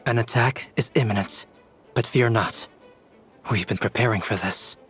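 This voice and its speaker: An actor playing a composed young alchemist knight in a video game, speaking in a measured, formal way. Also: male voice, on the low side, fairly bright tone, breathy edge, soft background music. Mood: calm explaining.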